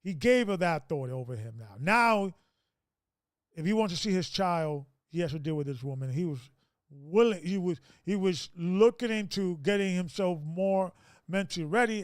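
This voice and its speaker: A man's voice: high-pitched, clean tone, no echo, studio quality. A man in his fifties hosting a casual group discussion, preachy and rambling, with many restarts. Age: 50s.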